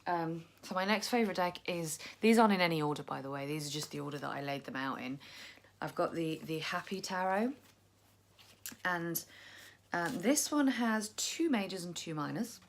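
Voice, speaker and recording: A low-pitched young woman with a croaky, gravelly edge from tiredness. She rambles casually to camera and laughs at her own over-explaining. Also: animated, moderate pace. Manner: chatty, self-deprecating, rambling. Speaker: tarot vlogger.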